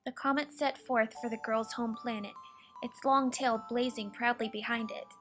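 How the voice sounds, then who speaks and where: High, bright-voiced woman reading a storybook. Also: fairly even intonation, moderate pace. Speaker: storybook reader. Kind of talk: scripted children's storybook narration. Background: soft music.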